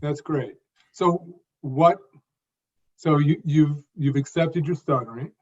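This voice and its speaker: A man's voice: a little high, slow pace, darkish tone, slightly rough. A man hosting an interview, who talks in an encouraging way and gives short agreeing replies. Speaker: interview host. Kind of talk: casual interview talk. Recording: dull, muffled audio.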